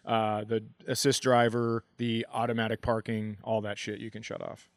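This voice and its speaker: A man's voice: on the low side, monotone, normal speed, no echo. A man co-hosting a comedy podcast in casual banter, wry and given to profane riffing.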